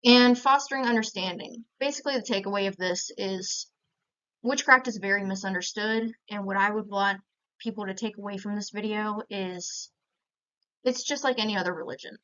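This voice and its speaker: A young woman with an American accent presents information in a plain, explanatory way. Her voice is smooth and clean, sitting at an average pitch for a woman. The recording is a little muffled and lo-fi. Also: normal speed, a bit bright. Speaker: student presenter. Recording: slightly dull, lo-fi sound.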